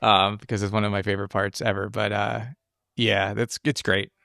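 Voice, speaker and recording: Male podcast co-host, smooth mid-range voice, dry close sound, enthusiastic, rambling movie chat. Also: fairly low pitch, flat delivery, normal speed, pushed and bright, no echo. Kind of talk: casual film discussion chat.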